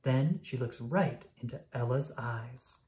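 A woman reading a children's picture book aloud, expressively and clearly paced for young listeners. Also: rumbling low, takes it slowly, mellow. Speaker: storybook reader. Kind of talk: children's read-aloud narration.

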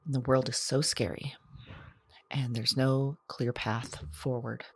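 A lonely, haunted woman played by an actress confides in an audio drama journal. She speaks slowly and haltingly, close to the mic in a very dry space, hesitant and reflective. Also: a bass voice, fairly even intonation, slightly rough, no echo, exaggerated voice. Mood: weary, reflective. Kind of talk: intimate confessional audio drama monologue.

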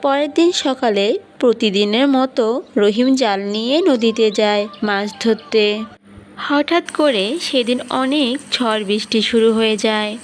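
A woman's voice: on the high side, unhurried, a little hiss or hum. A woman narrating a children's cartoon folktale from a script.

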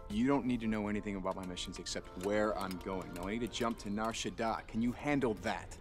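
A brooding young assassin from a video game, played by a man. He speaks in a fairly low voice, terse and guarded, with music clearly behind him. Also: fairly quick, close to an everyday voice. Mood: curt, commanding. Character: curt, brooding young male dark-side assassin. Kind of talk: scripted game cutscene dialogue.

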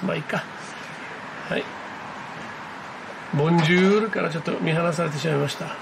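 A man giving casual, excited live commentary as a hobby vlogger, reacting aloud in exclamations as he goes. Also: fairly high pitch, brisk, creaky, noisy background. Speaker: amateur radio operator hosting his own video. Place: indoors at a desk, filming a computer screen.

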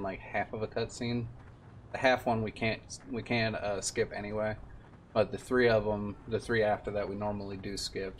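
A man's voice: lowish voice, slight background noise. A man in his twenties giving casual gameplay commentary as a streamer and speedrunner.

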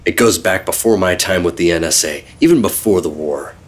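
An actor playing a secretive, world-weary 1950s government agent, terse and deadpan, narrating an old-time radio drama. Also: male voice, deep voice, brisk. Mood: weary, reflective. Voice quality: husky. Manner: terse, deadpan, hard-boiled.

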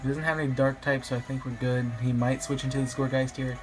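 A slightly raspy young man, a bit sick, commentating his online game battle in a rambling, hesitant way with many ums. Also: medium pitch, level tone, fast. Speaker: gaming YouTuber. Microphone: phone filming a screen. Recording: music playing behind.

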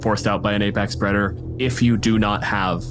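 A man's voice: on the low side, steady tone, brisk, noisy background. A man acting as game master, narrating and asking questions in an improvised, conversational tabletop style. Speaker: game master and podcast host.

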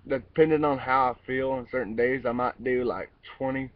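A man's voice: mid-range voice, fairly even intonation, moderate pace, dry recording, recorded from a distance, lo-fi sound. A young man in his twenties chatting casually outdoors in a wooded yard, recorded on a webcam while wearing headphones. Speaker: amateur singer. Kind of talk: casual vlog with sung cover. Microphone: webcam at close range, wearing headphones.

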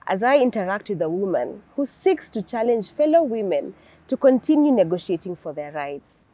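A woman's voice: mid-range voice, mellow, no room sound, rough recording. A woman in her thirties with a Kenyan accent, speaking as a talk show host on a lapel mic. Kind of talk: interview with scripted introduction.

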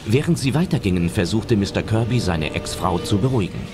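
A man's voice: fairly low pitch, animated, fairly quick, music in the background. A man narrating a scripted German audio drama as its storyteller.

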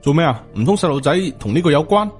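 A man's voice: fairly high pitch, sing-song, fast, soft background music, pitched above the performer's own voice. A man voicing a distrustful young village father in an audiobook, challenging and questioning, with a Hong Kong Cantonese accent. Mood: suspicious.

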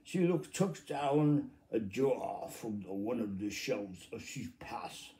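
A middle-aged man reads a children's classic aloud in a mellow, relaxed tone. His delivery is informal and halting, as he stumbles on phrases and adds little muttered asides. It is recorded on a phone in a room at home. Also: mid-range voice. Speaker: amateur storybook reader. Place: small room at home, indoors.

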